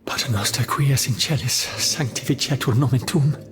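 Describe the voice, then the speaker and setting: A man voicing an erudite gentleman with a taste for the macabre in a video game, grave and ornate. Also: medium pitch, brisk, a crowd in the background, lightly acted. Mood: solemn prayer. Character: erudite, brooding French gentleman fond of the macabre. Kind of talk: scripted video game cutscene dialogue.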